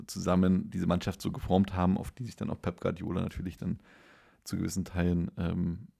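A man chatting casually as co-host of a football podcast, in a halting way with self-corrections. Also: sits low, gravelly, darkish tone, husky. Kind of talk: casual conversational football discussion.

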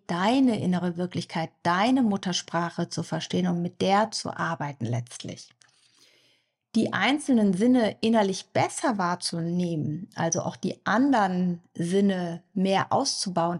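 A woman's voice: medium pitch, husky. A woman hypnosis coach explaining to the listener in a podcast, often speaking the listener's inner thoughts aloud. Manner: warm explanatory, addresses listener directly, acts out inner thoughts. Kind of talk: podcast monologue.

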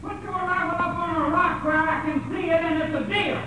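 An actor playing a cornered young outlaw, defiant and wary, calling back in a 1950s radio drama. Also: male voice, extremely high pitch, steady tone, fairly quick, low-quality recording.

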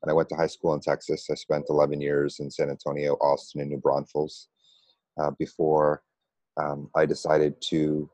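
A middle-aged man with an American accent, telling his personal story conversationally with a halting, pause-filled delivery. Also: very deep voice, warm tone, no room sound. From United States. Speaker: interview guest, meditation coach.

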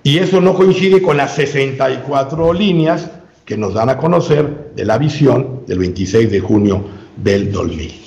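A man speaking as an interview guest, giving a long measured explanation with frequent filler sounds and restarts. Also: medium pitch, expressive, gravelly, a little mellow. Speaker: guest researcher. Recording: roomy, dull lo-fi sound.

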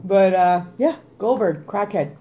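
Podcast co-host, woman, mid-pitched, loose and profane, animated banter. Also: unhurried, highs cut off. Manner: swears freely, animated and jokey. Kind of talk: casual chat.

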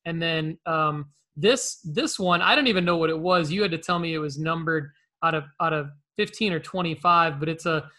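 Guy hosting a casual collectibles chat, bright kinda high voice, rambles and stops and starts. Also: moderate pace, hardly any pauses. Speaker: show host. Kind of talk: casual, conversational collectibles talk.

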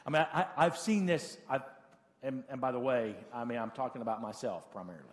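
A man in his late forties preaches with a medium-pitched voice that rings through a large, echoing church space. He sounds like a pastor talking directly to people, offering practical advice in a conversational style. His delivery is halting, with phrases said again for emphasis. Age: late 40s. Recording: hall reverb of a large room.

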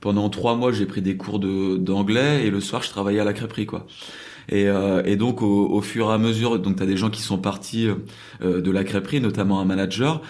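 Low-voiced man telling stories casually. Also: fairly even intonation, brisk, darkish tone. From France. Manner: rambling, many fillers and restarts. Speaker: crêperie owner in South Korea. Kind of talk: casual interview storytelling.

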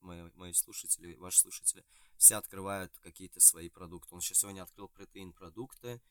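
Низкий голос, разговорчивый мужчина-гость подкаста. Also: male voice, low-pitched, steady tone, brisk, fairly bright tone, no room sound, studio quality. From Azerbaijan. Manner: chatty, jokey, storytelling with quoted dialogue. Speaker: podcast guest, PR expert. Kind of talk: casual podcast chat.

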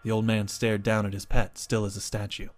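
A man's voice: fairly low pitch, level tone, brisk, faint music behind. A man reading his own first-person short story as dramatic scripted narration.

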